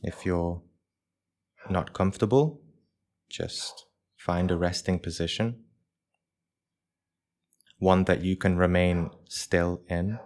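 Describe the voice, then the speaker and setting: A man leading a live guided meditation for a group as its teacher, speaking in measured short phrases with pauses between them. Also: low voice, darkish tone.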